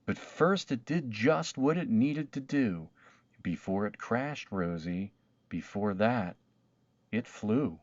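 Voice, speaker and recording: A man's voice: fairly low pitch, lively intonation, slow, no echo, highs cut off. A man voicing a spirited old great-great-aunt in a storybook, wistful at heart and encouraging. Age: old woman. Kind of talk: storybook read-aloud character voice.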